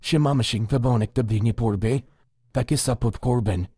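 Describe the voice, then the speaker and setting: A man playing a calm doctor advising a family in a cartoon, with a husky voice. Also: mid-range voice, moderate pace, no room sound, clean studio sound, natural voice. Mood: grave. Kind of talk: cartoon dialogue.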